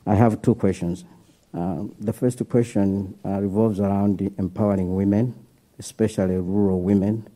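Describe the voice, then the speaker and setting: Deep, flat-voiced man from Malawi. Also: moderate pace, poor audio. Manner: hesitant, many "uh" fillers, earnest. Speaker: audience questioner, graduate student. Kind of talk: asking questions after a talk.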